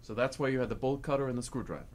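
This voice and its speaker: A man's voice: mid-range voice, very fast, lightly acted. A man acting in a comic radio play as a sardonic, unimpressed policeman, dry and sceptical in manner. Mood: sarcastic, stern.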